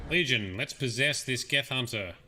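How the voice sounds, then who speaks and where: A man's voice: medium pitch, sharp tone. An Australian man giving casual, sweary, jokey live commentary as he plays a video game. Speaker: livestreaming gamer. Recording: noisy stream with game sound. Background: video game audio.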